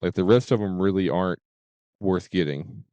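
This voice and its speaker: A man talking casually as a game streamer, in a halting way with repeated and stuttered words. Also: deep voice, dark tone, dry recording, highs cut off.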